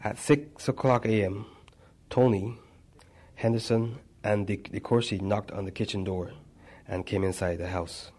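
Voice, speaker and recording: A man reads a personal memoir aloud in a measured way. His voice sits low and stays flat in tone, and he stumbles and restarts now and then. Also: slightly rough. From Barbados. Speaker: narrator.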